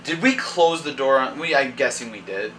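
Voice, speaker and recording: A man's voice: mid-range voice, animated, fairly bright tone, slight background noise. Young man running a tabletop game. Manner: halting, thinking aloud, reading from a module. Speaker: dungeon master. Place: dim home kitchen at a table. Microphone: far camera.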